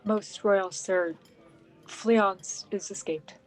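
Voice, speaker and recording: Young woman as blunt mid-pitched Shakespearean cutthroat. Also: fairly even intonation, drawn-out words. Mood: grim, deferential. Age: young adult. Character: blunt, deferential cutthroat reporting to the king. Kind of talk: Shakespearean verse drama acting.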